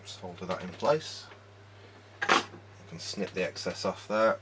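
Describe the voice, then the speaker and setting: A man's voice: deep voice, expressive, unhurried, heavy background noise. A man giving casual, hands-on repair commentary, muttering informally step by step as he works. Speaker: hobbyist electronics repairer and presenter.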